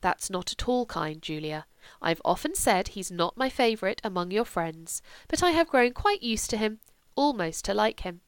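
A woman's voice: mid-range voice, expressive, moderate pace, smooth, no echo, close to an everyday voice. A woman doing a stern, reproving aristocratic mother. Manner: cool, reproving. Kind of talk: audiobook character voice.

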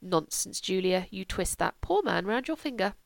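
A woman's voice: mid-range voice, lively intonation, normal speed, gravelly, dry recording. A woman voicing a stern, devout older aristocratic mother who speaks in a cool, reproving way. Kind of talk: audiobook character voice.

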